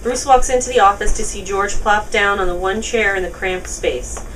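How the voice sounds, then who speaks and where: A woman's voice: medium pitch, moderate pace, a bit bright. A woman reading stage directions as scripted narration at a table read. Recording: distant mic, noisy room.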